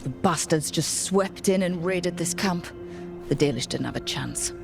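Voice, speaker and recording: A determined adventurer woman for a fantasy game. Her voice sits low, with a wry, matter-of-fact delivery. Music runs clearly beneath it. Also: measured pace. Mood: urgent, determined. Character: capable adventurer heroine, wry, determined young woman leader. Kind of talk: fantasy video game acting.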